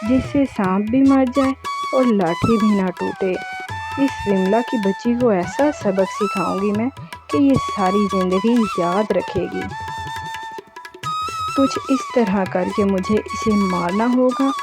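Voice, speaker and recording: A woman's voice: medium pitch, very animated, slow, loud music behind the voice. A woman voicing a scheming older mother-in-law in a cartoon horror story, dramatic and lamenting.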